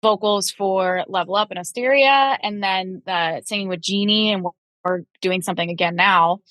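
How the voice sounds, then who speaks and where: A woman's voice: medium pitch, moderate pace, fairly bright tone, no echo. Candid chatty woman, dry sound. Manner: candid, chatty, many fillers and restarts. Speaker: guest composer and vocalist. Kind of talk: casual podcast conversation.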